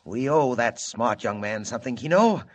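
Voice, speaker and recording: Actor as a cunning gang boss, husky, swinging delivery. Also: male voice, mid-range voice, animated, close to an everyday voice. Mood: scheming. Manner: menacing, calculating, deliberate. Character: cunning, vindictive male gang boss, convict ringleader. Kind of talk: 1940s radio serial acting. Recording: muffled lo-fi old radio recording.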